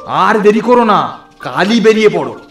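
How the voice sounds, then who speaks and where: A confident, persuasive friend from a horror cartoon. His voice sits high for a man and rings with echo, with soft music behind it. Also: sing-song, moderate pace. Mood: brisk, reassuring. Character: lively confident male friend urging a sick man onward. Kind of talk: Bengali horror cartoon voice acting.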